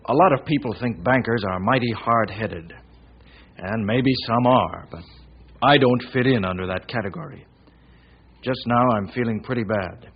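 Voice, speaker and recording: Man as earnest worried banker, American, grave and apologetic, husky edge, old radio drama, muffled sound. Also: mid-range voice, close to an everyday voice. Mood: tense, troubled. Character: troubled, earnest male small-town banker with a conscience.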